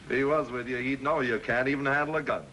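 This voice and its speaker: A man's voice: medium pitch, fairly even intonation, fast, pushed and bright, a little hiss or hum, lightly acted. An actor playing a scornful, teasing townsman with an American accent in a 1950s television western. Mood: needling. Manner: mocking, needling. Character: scornful, teasing male townsman of the Old West. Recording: old TV soundtrack with music.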